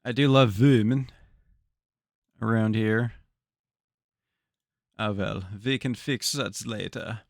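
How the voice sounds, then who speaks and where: A man's voice: on the low side, takes it slowly, gravelly, pauses often, dry recording, clean studio sound. A man streaming live and chatting casually with his viewers, laid-back and slangy with free swearing, his voice sounding slightly congested. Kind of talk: casual live-stream chat while gaming.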